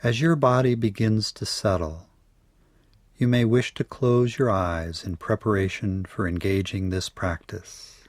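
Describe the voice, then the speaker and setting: A man leading a guided yoga nidra meditation in a measured, soothing way, letting his phrases trail off softly. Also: on the low side, no room sound.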